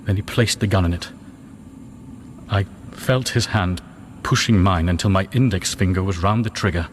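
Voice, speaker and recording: An actor playing a haunted man recounting a night of murder in slow, grave, anguished radio drama narration. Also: sits low, big-room sound, slight background noise, close to an everyday voice.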